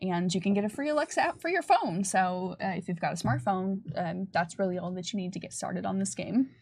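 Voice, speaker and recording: A woman with a medium-pitched voice talks as a panelist on a casual livestream. She fields questions in an informal way and is happy to poke a little fun at herself. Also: lively intonation, fairly quick, creaky, a little mellow. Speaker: panelist and game developer on a company livestream.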